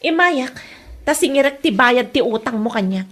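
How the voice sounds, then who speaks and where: A woman's voice: high-pitched, lively intonation, moderate pace, fairly bright tone. A woman with an Ilocano Filipino accent playing an aggrieved girlfriend in a comedy audio drama. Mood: confrontational. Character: aggrieved girlfriend demanding her money back.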